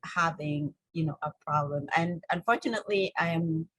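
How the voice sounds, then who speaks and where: A low-voiced woman with a Kenyan accent shares her story in an interview. Her voice is husky and recorded close and dry. She talks informally and haltingly, circling back with frequent "you know". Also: a bit bright, no echo, rough recording. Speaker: interview guest, holistic health coach.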